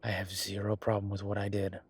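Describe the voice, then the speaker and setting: A man performs an affectionate, wry werewolf bureaucrat in an intimate audio roleplay. His voice is husky and on the low side, with little rise and fall. He talks casually and haltingly, like an easygoing boyfriend chatting at the office. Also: completely flat delivery. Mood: amused, unrepentant.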